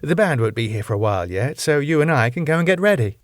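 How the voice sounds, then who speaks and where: A man's voice: medium pitch, very animated, fast, no room sound, studio quality, pitched above the performer's own voice, lightly acted. A man voicing a cheerful, domineering middle-aged wife in a raised voice. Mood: breezy. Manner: sweet but domineering.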